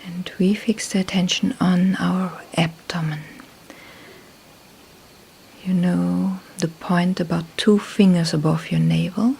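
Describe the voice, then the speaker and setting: Slow, hushed woman guiding meditation, creaky voice. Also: lowish voice, a little hiss or hum. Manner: calm, unhurried, with long pauses between short phrases. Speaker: meditation teacher. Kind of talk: slow guided meditation instruction.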